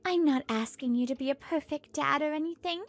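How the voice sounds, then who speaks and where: A woman's voice: high-pitched, a little fast. A woman voicing an earnest pregnant wife who narrates a manga dub, fed up but hopeful.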